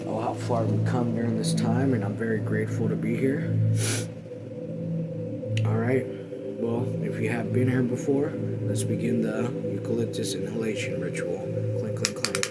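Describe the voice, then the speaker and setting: A man's voice: lowish voice, level tone, normal speed, rough recording. Man reading aloud over prominent music. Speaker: host reading a novel aloud. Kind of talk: read-along narration with dramatized folksy dialogue. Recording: music playing behind the voice.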